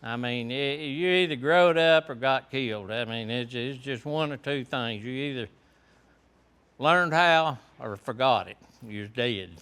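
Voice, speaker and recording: An older man talks to a high school class in a plain, folksy way. He speaks very slowly, and his voice is bright and clear. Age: 70s. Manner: plainspoken, folksy, unhurried storytelling. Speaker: veteran guest speaker. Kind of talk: classroom talk to students.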